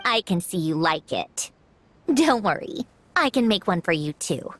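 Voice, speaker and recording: A woman's voice: on the low side, very animated, measured pace, noisy background. A woman playing a proud, refined young lady inventor in an anime video game, speaking in a prim, self-assured and articulate way. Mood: prim, gently haughty.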